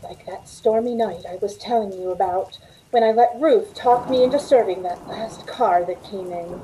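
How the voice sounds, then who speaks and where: A woman's voice: mid-range voice, moderate pace, dark tone, a little hiss or hum, close to an everyday voice, poor audio. A middle-aged woman playing a weary, wistful 1940s Hollywood carhop who confides her story in old-time radio drama style. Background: rain.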